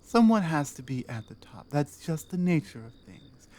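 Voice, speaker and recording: A woman playing a proud, battle-hardened orc queen in a scripted ASMR roleplay, speaking deliberately with commanding, pointed rhetorical phrasing. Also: sits low, very animated, warm tone. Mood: earnest, persuasive.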